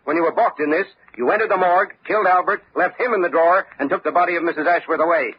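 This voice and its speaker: A confident, unflappable detective lays out his words pointedly in an old radio play. His voice is husky and a touch high. The recording is dull and band-limited, like vintage radio. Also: quick pace. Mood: coolly accusing. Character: shrewd, unflappable male police lieutenant detective. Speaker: actor. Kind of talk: old-time radio detective drama acting.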